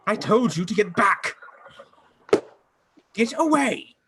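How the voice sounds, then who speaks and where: A man acting in a radio play as a bookish, excitable young student with a theatrical, formal delivery. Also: sits high, slow pace, very noisy, heavily acted. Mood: alarmed, defiant.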